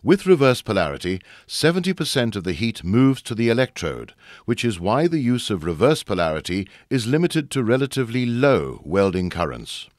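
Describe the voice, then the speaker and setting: A man reading a scripted instructional narration for a training video in a measured, formal explaining way, recorded as a close studio voice-over. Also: medium pitch, sing-song, no echo.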